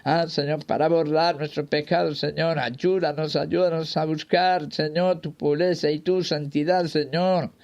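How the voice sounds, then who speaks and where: A man's voice: on the high side, steady tone, unhurried, creaky, few pauses, a little mellow. A man leading a fervent spoken prayer, pleading in short repeated invocations. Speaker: prayer leader.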